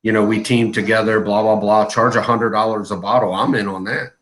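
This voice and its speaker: Laid-back low-voiced man in his forties. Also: little pitch movement, a bit bright. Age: 40s. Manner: laid-back, laughs often, drawn-out storytelling. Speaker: co-host of a live chat stream. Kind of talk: casual live-stream chat.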